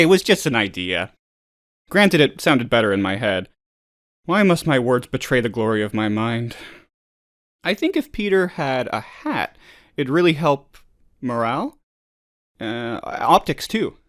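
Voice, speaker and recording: A man voicing a nervous, downtrodden factory boss in a video game, hesitant and rambling but earnest. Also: on the high side. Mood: nervous, scheming. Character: male anxious, bumbling, downtrodden plant boss and ex-worker. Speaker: factory manager character. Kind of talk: video game character voice lines.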